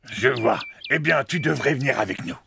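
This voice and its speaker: A man playing a genial old donkey in a children's cartoon dub, with a hearty, drawn-out, sing-song delivery. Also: medium pitch, vocal fry, background noise. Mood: friendly. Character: genial old donkey, proud singer leading his friends.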